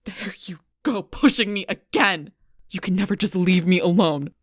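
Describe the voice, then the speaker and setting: A woman playing a gruff, stubborn, protective female alpha werewolf in an intense audio roleplay, blunt and often hushed, with a husky voice. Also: lowish voice, moderate pace, dry recording, studio quality. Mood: angry, desperate. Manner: intense, blunt, swearing, often dropping to whispers.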